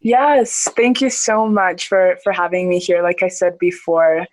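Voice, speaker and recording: A woman's voice: on the low side, fairly even intonation, a little slow, dry recording. A woman in her early twenties, an interview guest talking earnestly and enthusiastically, laughing often and restarting her sentences. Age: young adult. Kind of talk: casual conversational interview.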